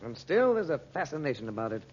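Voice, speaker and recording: Brisk American adventurer uncle. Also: male voice, fairly high pitch, very animated, darkish tone. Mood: musing, genial. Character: earnest American adventurer uncle, responsible and urgent. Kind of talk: 1930s-40s radio acting. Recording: muffled, hissy old radio recording.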